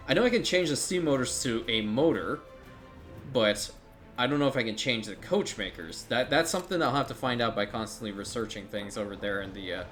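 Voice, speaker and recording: A man's voice: medium pitch, fairly bright tone. A man giving casual, rambling commentary while playing a strategy game, thinking aloud and swearing now and then.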